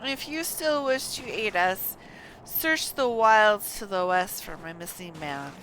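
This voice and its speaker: Over clear background noise comes a haughty male knight commander from a video game, acted out by a woman. The delivery is brusque and imperious, slow and weighty, with big swings in pitch. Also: animated, a bit bright, poor audio. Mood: grudging, commanding. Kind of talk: reading video game dialogue aloud.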